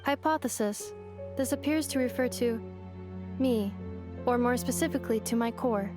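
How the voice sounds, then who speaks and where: An actress voicing a calm, analytical female android in a video game, speaking in flat, precise phrases. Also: a little high, moderate pace, lightly acted. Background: game music.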